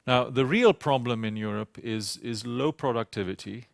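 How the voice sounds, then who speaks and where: A man with a British accent giving a conference panel talk, hesitant and halting, often repeating words and pausing on "uh". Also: mid-range voice, vocal fry, no room sound, studio quality. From Sri Lanka. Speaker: panel speaker. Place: conference venue.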